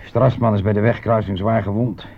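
Low-voiced, gruff army sergeant. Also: male voice, fairly even intonation. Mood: gruff, matter-of-fact. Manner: brisk, down-to-earth. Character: gruff, steady army sergeant, paternal to his men. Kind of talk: radio play acting. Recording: thin phone-like old radio recording.

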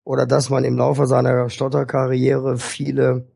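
A man speaking as a guest speech therapist, explaining things in a calm, instructive and measured way. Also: mid-range voice, vocal fry, slightly rough, no echo. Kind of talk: explanatory interview talk.

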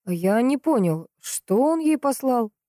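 A woman voicing a polite, sceptical, puzzled male police investigator in a crime audiobook, questioning in a measured way. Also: on the low side, mellow, dry recording, clean studio sound, natural voice.

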